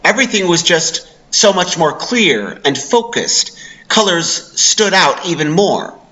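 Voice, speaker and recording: A man's voice: high voice, very animated, a little slow, pushed and bright, dull audio. A man narrating a first-person horror story as a dramatic reading. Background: background music.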